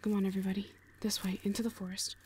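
A woman playing a wary, commanding scout in an audio drama, speaking in a firm, hushed, terse way. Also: mid-range voice, little pitch movement, very fast, a little hiss or hum, natural voice. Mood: urgent, resolute. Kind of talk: audio roleplay drama acting.

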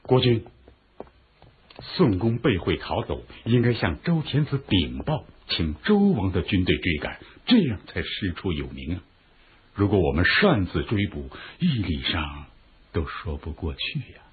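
An actor playing a shrewd, earnest chief minister in a historical radio drama, with a husky voice and formal, measured rhetoric. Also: male voice, low voice, very animated, full character voice. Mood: earnest, persuasive. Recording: muffled phone-like radio drama recording.

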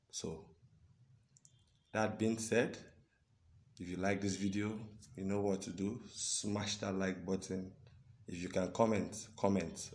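Deep-voiced Nigerian man vlogging. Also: a little mellow. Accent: Nigerian (Yoruba). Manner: chatty, earnest, drawn-out words and frequent "okay?". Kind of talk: casual selfie vlog talk. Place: indoors, small room with curtains. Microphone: phone at arm's length.

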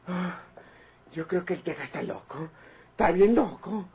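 An actor with a Mexican accent playing a rattled, superstitious, grumbling ranch underling in a radio drama, with a husky, rough voice. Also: male voice, high voice, animated, a little slow, noisy background, full character voice. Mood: shaken, relieved. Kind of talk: old radio drama acting.

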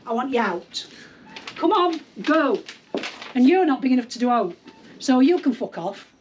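A woman's voice: high voice, sing-song, normal speed, darkish tone, lo-fi sound, a little hiss or hum. A middle-aged woman, a shop owner, angrily ordering intruders out of her showroom and swearing at them. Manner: angry, swearing, ordering people out. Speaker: prank victim, shop owner. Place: bathroom showroom, indoors.

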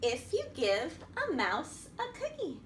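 A middle-aged woman reading a picture book aloud as a children's storytime host, expressive and sing-song, talking directly to young children. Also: high-pitched, slow pace. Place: indoor room at a wooden table by a window. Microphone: camera across the table. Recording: echoey room with background noise.

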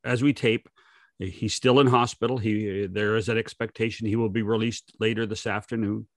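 Low-voiced older man talking sports, dry sound. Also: fairly even intonation, normal speed, no echo. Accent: North American. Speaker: podcast co-host. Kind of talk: casual sports commentary. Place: home room on a video call. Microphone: desk microphone close up.

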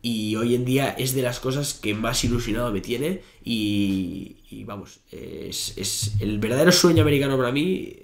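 A man's voice: medium pitch, moderate pace, vocal fry, few pauses. A young man, a native Spanish speaker, talking as a podcast guest across a desk, telling stories with many fillers and restarts. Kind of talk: casual interview talk. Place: small office studio. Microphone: desk microphone. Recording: echoey room.

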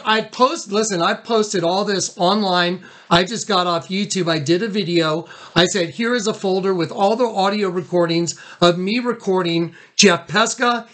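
Man, American accent, high voice, agitated, insistent, repeats himself, recorded phone conversation. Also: fairly bright tone. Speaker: caller, YouTube channel owner.

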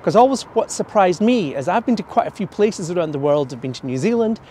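A middle-aged Scottish tour guide with a fairly high voice speaks outdoors in a snowy city park. He tells stories and reads verse aloud from a folder. A camera picks him up from some distance. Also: expressive, fast, mellow, a little hiss or hum. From Scotland. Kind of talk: guided tour talk with poetry reading.

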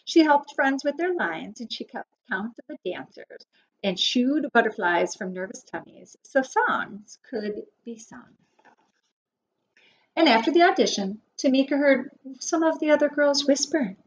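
High, lilting woman reading a storybook. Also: expressive, measured pace. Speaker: preschool teacher. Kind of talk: children's picture book read-aloud. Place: small wood-panelled room indoors. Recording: dull webcam audio with faint noise.

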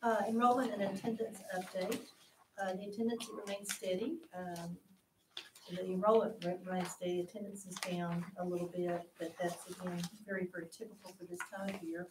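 A woman's voice: fairly low pitch, fairly even intonation, brisk. A woman superintendent giving a matter-of-fact report at a board meeting, with figures and uh fillers. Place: meeting room, large indoor. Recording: distant, echoey room with background noise.

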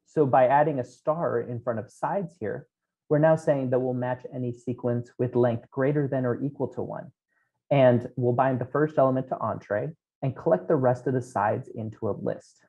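A man's voice: mid-range voice, moderate pace. A man in his thirties giving a technical keynote from a small room, explaining code step by step with frequent ums. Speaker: conference keynote speaker. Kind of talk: technical conference talk. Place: small room at home, indoors. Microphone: headset. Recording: dull lo-fi remote talk audio.

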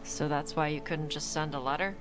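A woman playing a blunt young horned warrior in a video game, terse and understated, with a gruff manner. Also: low voice, steady tone, a little fast, music in the background, close to an everyday voice. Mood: curt.

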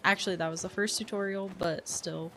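A woman's voice: on the low side, fairly even intonation, a little fast, pushed and bright, a little hiss or hum. A woman giving casual, playfully dry, grumbling reactions as she plays a game, close to the microphone. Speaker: gamer commenting over gameplay. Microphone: close dynamic microphone. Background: game music and sounds.

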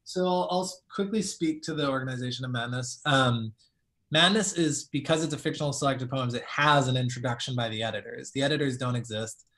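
A man's voice: mid-range voice, fairly quick, a bit bright. A young man with a Miami accent, a poet answering questions on a video call and thinking aloud with many fillers. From Miami, United States. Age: young adult. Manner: thoughtful, hedging, many "like" fillers. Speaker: poet guest. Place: small room at home, indoors. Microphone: video call webcam.

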